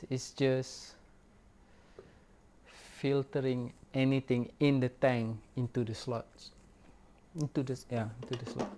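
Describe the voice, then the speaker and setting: A man whose first language is Malay, giving a casual, unhurried product review in English with many pauses and fillers. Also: medium pitch, a little mellow, slight background noise. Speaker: record store owner reviewing gear.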